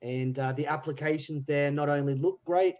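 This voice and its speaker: A man's voice: a little high, moderate pace, a little mellow, dull audio. A man chatting as a podcast guest, hesitant and thinking aloud with many fillers. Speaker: theatre group member, guest. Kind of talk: casual podcast chat.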